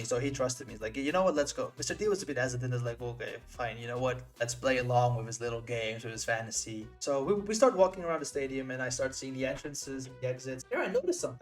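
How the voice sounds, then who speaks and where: A young man from the Netherlands telling an animated story as a vlogger, acting out the other people's lines with big jumps in pitch. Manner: excitable, acts out friends' lines with swings in pitch.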